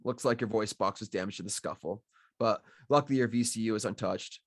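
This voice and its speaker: A man's voice: on the low side, a little fast, no echo, studio quality. A man playing a weary, sardonic middle-aged drifter cowboy in an acted screenplay read. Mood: rueful, chatty. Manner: sardonic, weary, rambling to his companion. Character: gruff middle-aged drifter cowboy, wry, talking to his robot buddy.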